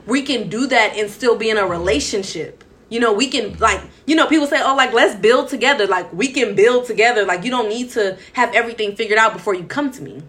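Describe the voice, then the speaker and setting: A woman in her late twenties chats casually as a co-host, her voice bright and a little high. She talks loosely, with plenty of fillers and an easy laugh. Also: a little fast, few pauses. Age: late 20s. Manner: chatty, filler-heavy, laughs easily. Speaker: podcast co-host. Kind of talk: casual chat.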